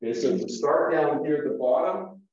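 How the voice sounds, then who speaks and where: A man teaching a Greek lesson at a whiteboard, explaining slowly with fillers and restarts, heard through a room mic on a video call. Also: fairly high pitch, a little mellow, distant mic. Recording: echoey room, dull lo-fi sound.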